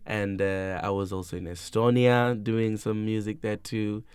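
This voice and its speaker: A man's voice: lowish voice, fairly even intonation, a little slow, a little mellow, clean tone, no echo. A young man from Tanzania, a musician being interviewed, chatting casually and playfully, laughing often and rambling loosely. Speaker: musician guest.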